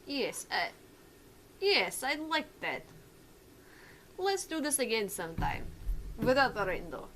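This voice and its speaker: A woman's voice: fairly high pitch, big pitch swings, slow pace, long pauses, a bit bright, slight background noise, heavily acted. A woman voicing an eager girlish tank character in a visual novel game, shy at times and teasing at others. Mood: shy, sweet.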